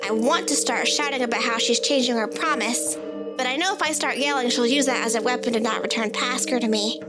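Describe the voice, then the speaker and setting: High-voiced woman narrating as a child, over music. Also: fairly quick. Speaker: story narrator. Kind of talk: first-person scripted story narration as a child. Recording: loud music bed under the voice.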